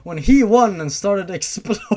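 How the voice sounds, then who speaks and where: A man's voice: high voice, animated, slow pace, a little mellow, a little hiss or hum. A man giving a theatrical, exaggerated comedic reading of fan fiction as narrator, breaking in with his own asides. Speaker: story reader.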